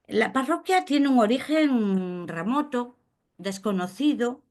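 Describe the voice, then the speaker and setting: Slow, unhurried woman lecturing. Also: a little high, animated, a little mellow. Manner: unhurried, explains with pauses and fillers, checks in with listeners. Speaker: speaker on commons law. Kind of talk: explanatory lecture. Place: Galicia, Spain.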